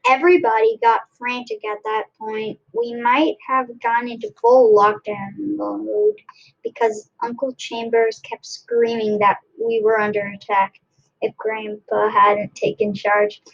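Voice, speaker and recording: A woman reading a children's book aloud expressively, in a deliberate way with dramatic pauses. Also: a little high, big pitch swings, gravelly, lo-fi sound. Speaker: read-aloud narrator.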